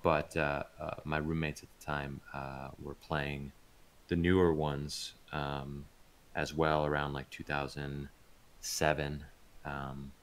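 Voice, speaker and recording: A man speaking as an interview guest in a casual, laid-back and halting way. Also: very deep voice, flat delivery, clean tone. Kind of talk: casual chat.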